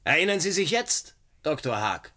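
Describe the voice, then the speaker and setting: A man's voice: on the high side, sing-song, normal speed, bright, forceful tone. An actor playing a stern, determined man with a formal, cutting manner in a German radio play.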